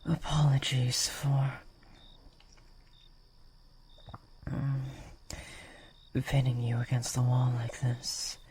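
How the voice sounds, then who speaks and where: A woman voicing a gentle but possessive vampire lady in an intimate roleplay, soft-spoken and often whispering, with formal, old-fashioned, coaxing phrasing. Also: a bass voice, slight background noise, heavily acted. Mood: hungry, intimate. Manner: formal, archaic, slow, coaxing.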